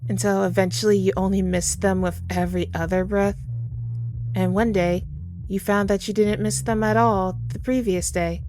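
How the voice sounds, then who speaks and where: A woman playing a gentle, sorrowful Grim Reaper in an ASMR audio roleplay, soft-spoken and tender as she reassures the listener. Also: medium pitch, steady tone, normal speed, faint music behind, natural voice. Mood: comforting, wistful. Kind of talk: ASMR audio roleplay, intimate comforting monologue.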